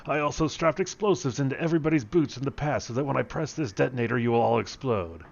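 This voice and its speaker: A man voicing a tough, earnest action hero in a comic story, delivering deliberate, melodramatic one-liners. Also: medium pitch, vocal fry, lo-fi sound, voice pushed up. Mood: confident.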